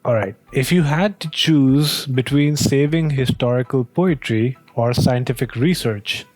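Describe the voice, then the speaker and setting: A man hosting a casual question-and-answer chat, speaking in a somewhat hesitant, halting way. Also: medium pitch, darkish tone, no echo, soft background music. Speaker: host, interviewer testing an AI voice.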